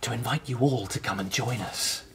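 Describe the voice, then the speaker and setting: A woman's voice: extremely low pitch, moderate pace, slight background noise. A woman playing a bold, friendly wild rabbit leader in a radio play, earnest and persuasive. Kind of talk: radio drama acting.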